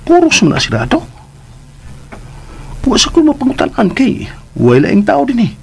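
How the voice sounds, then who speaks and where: A man's voice: extremely high pitch, wildly swooping pitch, moderate pace, creaky, a little hiss or hum, heavily acted. A man acting a cheeky, humble young provincial job seeker in a Filipino radio drama, talkative and deferential, with a Cebuano accent. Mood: puzzled, muttering.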